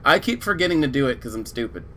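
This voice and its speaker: A laid-back man streaming a video game, chatting and rambling to his viewers through a desk mic. His voice is getting weak and sits at an ordinary pitch. Faint noise sits in the background. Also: quick pace. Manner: relaxed, rambling, greeting viewers. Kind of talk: casual live-stream chat, reading viewer chat.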